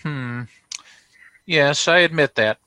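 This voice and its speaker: An actor playing a pompous, flustered dealer in an old radio comedy, speaking in florid, formal phrases. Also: male voice, a little high, slow, sharp tone, clear, resonant tone, dry recording. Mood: grudging. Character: pompous, flustered male trophy dealer defending his goods. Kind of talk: 1940s radio comedy acting.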